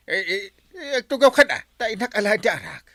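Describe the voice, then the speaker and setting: A man playing an excitable, hospitable village drinking buddy in an Ilocano radio comedy drama, stammering exclamations with an Ilocano accent. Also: sits high, a little fast, no room sound. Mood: eager.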